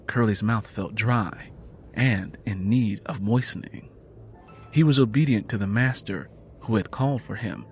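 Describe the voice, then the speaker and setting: A man's voice: on the low side, unhurried, faint music behind. A man narrating scripted fiction in a dramatic storytelling style.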